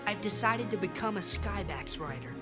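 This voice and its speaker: An actor plays an adventurous young son, eager and determined. The boy's delivery is earnest and insistent, in audio drama style. Music runs clearly underneath. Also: mid-range voice, lively intonation, moderate pace, lightly acted.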